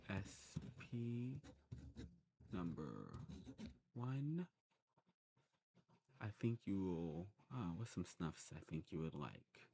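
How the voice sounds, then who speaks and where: A man playing a courteous old demon shopkeeper in an ASMR roleplay, talking to the listener in a genteel way and musing with hums and pauses. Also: low voice, gravelly, mellow, slight background noise, heavily acted.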